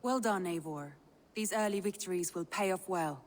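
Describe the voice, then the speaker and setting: Woman acting a low-voiced Viking clan advisor. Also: moderate pace, natural voice. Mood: approving. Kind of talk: video game cutscene acting. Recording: clear background noise, game ambience.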